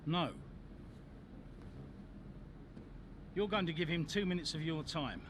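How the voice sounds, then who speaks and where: An actor playing a firm, no-nonsense racing team boss in a video game, terse and commanding. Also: male voice, on the high side, brisk, background noise, close to an everyday voice. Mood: insistent. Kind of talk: cinematic video game dialogue.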